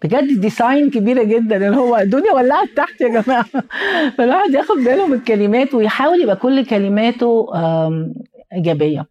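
Woman, Egyptian accent, podcast co-host, tired strained voice, very fast talker, animated storytelling. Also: a little high, muffled recording. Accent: Egyptian Arabic. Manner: animated storyteller, quotes people in lively asides. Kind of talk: casual podcast conversation.

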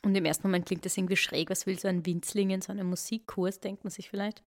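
A woman co-hosting a casual podcast chat, throwing in quick, wry interjections. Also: mid-range voice, flat delivery. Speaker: podcast co-host.

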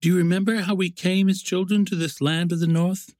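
A man voicing a wistful, gentle female nature spirit in a storybook, with an earnest, yearning, poetic manner. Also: high-pitched, flat delivery, a little fast, dry recording, clean studio sound, pitched above the performer's own voice, natural voice.

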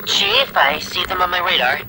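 A man's voice: high-pitched, wildly swooping pitch, normal speed, heavy background noise, full character voice. A man playing an alert crew lookout reporting over a radio in a sci-fi audio drama. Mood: urgent.

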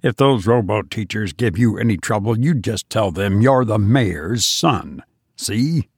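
A man's voice: lowish voice, expressive, measured pace, dry recording, studio quality. A man voicing an irritable, sleepy father who grumbles at his son to leave him alone. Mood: grumpy. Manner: gruff, grumbling, impatient.

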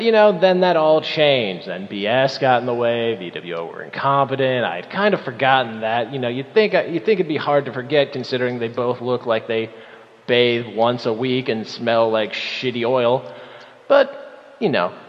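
A man's voice: mid-range voice, natural voice. Cocky male wrestling heel, acted by a man, sarcastic and menacing promo delivery, dull recording with long reverb. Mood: smug, menacing. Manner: mocking, menacing, halting with repeated words. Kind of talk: rambling wrestling promo with profanity. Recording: dull lo-fi audio in echoey hall.

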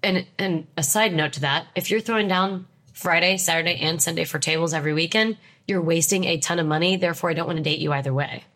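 A woman's voice: lowish voice, quick pace, few pauses, a bit bright. Stuffed-up young woman, blunt and emphatic. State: stuffed-up nose from a cold. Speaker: podcast co-host. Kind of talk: casual chat.

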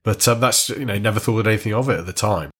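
Husky middle-aged host. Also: male voice, lowish voice, fast, a bit bright. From Warrington, North West England. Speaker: podcast host. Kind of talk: hosting and interviewing.

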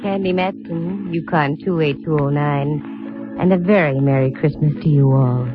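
An actress playing a worldly, affectionate female detective in a 1950s radio drama, poised and deliberate. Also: deep voice. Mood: cordial. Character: worldly, warm-hearted female private detective. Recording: tinny old radio recording with music.

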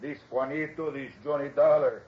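An actor playing a menacing, smug town boss with a Spanish accent and a mock-friendly manner, in a 1960s radio drama. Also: male voice, mid-range voice, fairly even intonation, moderate pace, lightly acted. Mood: mocking, sly. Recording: dull old radio recording, faint noise.

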